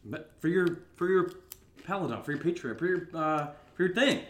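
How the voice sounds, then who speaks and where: A man's voice: normal speed, lightly acted. Impulsive, goofy young American schemer played by a man. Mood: musing, eager. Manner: casual, rambling, excitable. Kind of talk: naturalistic comedic audio drama dialogue. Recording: low-level home recording, faint noise.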